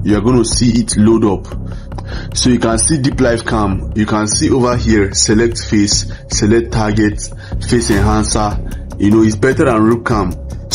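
Male tutorial host, lower-pitched, informal explainer delivery with frequent check-ins, music underneath. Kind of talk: casual software tutorial. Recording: music behind the voice. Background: background music.

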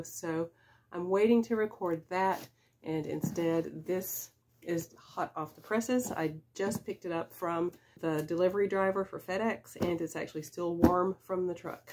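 Woman in her fifties, lowish voice, a bit stuffy from a cold; easygoing reseller chat at desk distance, faint hiss behind. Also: a little mellow. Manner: chatty, unhurried, with small laughs and fillers. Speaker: reseller vlogger. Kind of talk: casual unboxing chat. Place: small room with shelves of boxes, indoors. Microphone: camera at desk distance.

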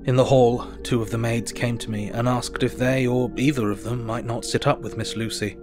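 An actor playing an earnest, weary Victorian doctor, narrating his diary in a measured, formal, reflective way. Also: medium pitch, steady tone, music in the background, lightly acted. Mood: tender reflective.

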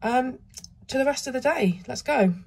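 A woman with a croaky voice from a cold, chatting casually and rambling through a travel vlog with frequent ums. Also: high-pitched, lively intonation, moderate pace. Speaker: travel vlogger.

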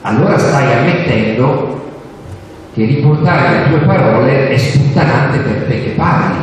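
A man's voice: mid-range voice, a little slow, slight background noise. An older man speaking at a panel, sarcastic and mocking, building his points toward punchlines while gesturing with a handheld mic on stage. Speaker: journalist speaking at a book fair panel. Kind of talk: sarcastic public talk to an audience. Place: large hall on stage. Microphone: handheld microphone, recorded from the audience. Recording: echoey hall from far off.

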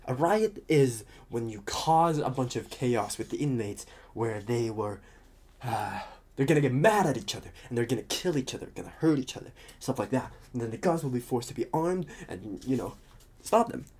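A man playing a talkative, restless psychopath convict in an ASMR roleplay, protective and scheming, with halting, muttered asides. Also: mid-range voice, animated, a little mellow, husky, pitched below the performer's own voice. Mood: scheming, casual.

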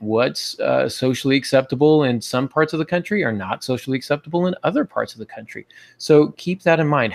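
A man's voice: mid-range voice, vocal fry. An American man in his forties hosting a podcast, giving casual instructional talk over a video call. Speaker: podcast host. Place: small home office, indoors. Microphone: earbud headset on video call.

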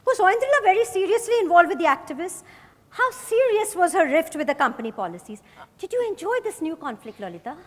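A woman's voice: extremely high pitch, big pitch swings, fast. A woman on stage playing a probing, commanding female investigator, with pointed and insistent questioning. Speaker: stage actor. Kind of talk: dramatized play reading on stage. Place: large hotel ballroom stage. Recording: echoey hall from far off.

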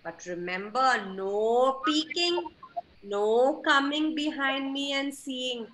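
A woman voicing a strict but loving old grandmother duck who scolds slowly and firmly. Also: sits high, fairly bright tone, a little hiss or hum, low-quality recording. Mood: scolding. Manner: scolding, slow and firm.

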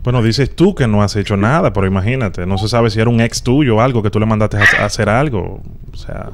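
A young man hosting a prank phone call, speaking gravely like an official into a close studio mic. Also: lowish voice, a little fast, very noisy. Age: young adult. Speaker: prank call show host. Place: home studio room. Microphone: close studio mic on boom arm. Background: music.

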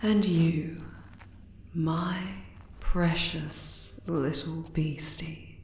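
A woman voicing a regal, adoring spider-woman queen in an intimate ASMR romance roleplay, tender and possessive, breathy and often slipping into a whisper. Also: low-pitched, mellow, roomy sound, slight background noise, heavily acted. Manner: slow, doting, sensual endearments.

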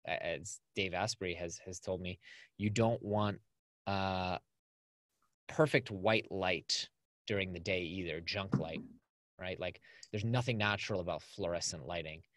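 Low-voiced man, dry studio. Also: a little slow, a bit bright, dry recording. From California. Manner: upbeat, chatty, lots of "like". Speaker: podcast guest. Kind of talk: casual conversational interview. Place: small home studio with acoustic foam. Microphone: video call.

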